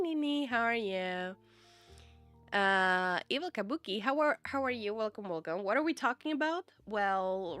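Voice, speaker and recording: Slow-talking woman streamer. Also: on the low side, a bit bright. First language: Czech. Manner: chatty, rambling with many fillers, laughs often. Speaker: live streamer. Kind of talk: casual chat with viewers.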